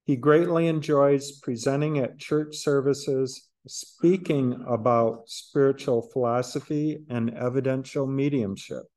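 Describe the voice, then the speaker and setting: A man chairing a church service, slowly reading an introduction of the guest speaker at a lectern. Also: medium pitch, steady tone, darkish tone. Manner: slow, measured reading. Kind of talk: read introduction. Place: church hall, indoors. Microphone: lectern mic.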